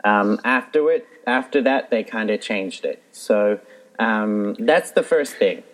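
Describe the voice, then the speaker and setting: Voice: mid-range voice, expressive, takes it slowly, rough recording. A co-host in a casual chat, earnestly explaining with many restarts.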